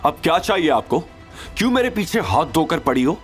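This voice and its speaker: Casting: male narrator as protective young hero, angry, firm, challenging, raised animated voice, audio drama. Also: on the high side, fairly quick, soft background music, voice pushed up. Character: angry, protective young man confronting an elder woman.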